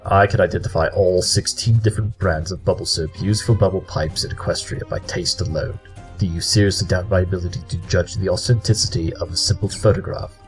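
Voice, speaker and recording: Man playing a low-voiced, haughty pony detective. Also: fairly even intonation, brisk, faint music behind, natural voice, rough recording. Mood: haughty, certain. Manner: haughty, precise, pedantic. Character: aloof male pony detective, Sherlock Holmes parody. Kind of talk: audio drama acting.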